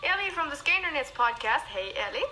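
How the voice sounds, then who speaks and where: A woman's voice: sits high, big pitch swings, brisk, bright, forceful tone, a little hiss or hum. A Norwegian woman with a Norwegian accent, a knitwear designer chatting upbeat in a YouTube tutorial, played back from a video. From Norway.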